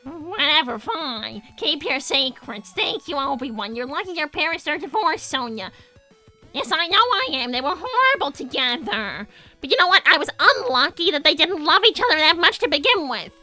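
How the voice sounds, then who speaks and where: Squeaky, bratty cartoon hedgehog girl. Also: big pitch swings, moderate pace, sharp tone, faint music behind, heavily acted. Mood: resentful. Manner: indignant, sassy, dismissive. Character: Sonya, bratty defensive young female cartoon hedgehog. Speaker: character host reading viewer messages. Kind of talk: improvised in-character livestream comedy.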